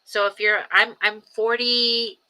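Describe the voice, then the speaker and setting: A woman in her forties hosting a casual livestream, speaking in an earnest, rambling way with many ums and restarts, steady through her grief. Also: a little high, slow, rough recording. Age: 40s. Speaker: livestream host. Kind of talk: casual reflective livestream talk.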